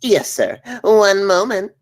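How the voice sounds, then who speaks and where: A man's voice: high voice, sing-song, takes it slowly, full character voice. Man playing a bossy, swooping little ship officer. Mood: fawning. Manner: abrasive, theatrical, switching between barking and fawning. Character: bossy, crude, sycophantic short male ship officer. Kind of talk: comedy script table read.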